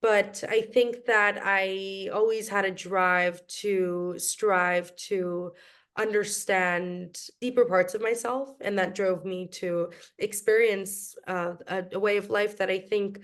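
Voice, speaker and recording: A woman's voice: mid-range voice, steady tone, slow, a bit bright, smooth. A young woman from New York, a podcast guest who speaks in a reflective, casual way with frequent ums and restarts. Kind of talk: casual interview chat.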